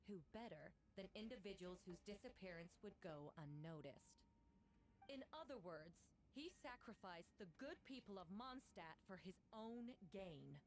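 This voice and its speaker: Casting: stern game-drama prosecutor, medium-pitched woman, composed, official, accusatory. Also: lively intonation, slight background noise. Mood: stern, accusing. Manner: formal, measured, accusatory.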